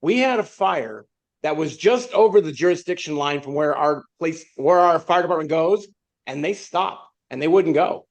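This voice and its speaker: Male American panelist, high-pitched, informal storyteller who acts out quotes. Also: expressive, moderate pace. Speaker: podcast panelist, leadership instructor. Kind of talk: casual chat with anecdotes.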